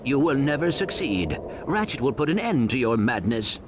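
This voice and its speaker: An actor playing a small, earnest robot sidekick with precise, formal speech in a cartoonish video game. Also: male voice, medium pitch, sing-song, moderate pace, noisy background, lightly acted. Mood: defiant.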